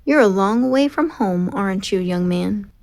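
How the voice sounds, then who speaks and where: A woman's voice: mid-range voice, animated, moderate pace, a little mellow, natural voice. A woman voicing a huge, worldly, kindly male eagle with a formal, authoritative manner in a storybook. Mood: assured, kindly.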